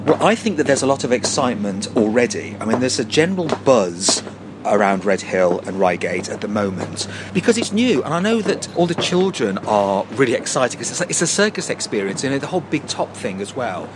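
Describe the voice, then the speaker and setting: A middle-aged English man chatting excitedly and emphatically as a pantomime performer being interviewed on location. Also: mid-range voice, a little fast. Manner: effusive, excitable, emphatic repetition. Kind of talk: casual on-location interview chat. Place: inside a large tent, on location. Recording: clear outdoor-like ambient and traffic noise.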